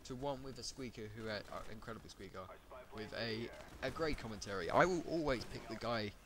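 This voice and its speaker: A man's voice: brisk, crowd noise. A man chatting casually about gaming commentary, rambling and correcting himself as he goes. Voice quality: says he has a high voice.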